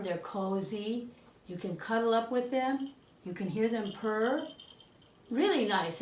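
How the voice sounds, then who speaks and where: A woman giving an educational talk for children in short, simple sentences, posing questions to her listeners. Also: a little high. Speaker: co-presenter. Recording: roomy indoor echo.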